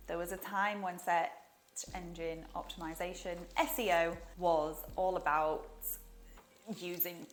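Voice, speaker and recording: Woman, Yorkshire accent, slightly low voice, chatty upbeat vlog coach. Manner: chatty and upbeat, with asides and jokes. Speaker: online English teaching coach. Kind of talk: casual advice vlog.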